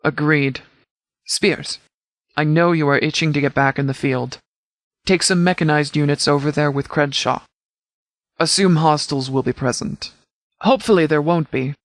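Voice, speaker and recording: A man playing a composed, pragmatic military commander in a video game, speaking in a formal, measured, tactical way. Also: on the high side, dry recording, close to an everyday voice. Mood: composed, authoritative. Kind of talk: video game dialogue acting.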